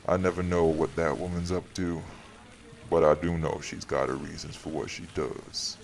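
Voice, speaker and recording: A man playing a weary, wry, protective vampire city leader in an audio roleplay, speaking in a measured, dry, teasing way. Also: very deep voice, flat delivery, gravelly, a little hiss or hum. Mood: wry, fond. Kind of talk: audio roleplay drama acting.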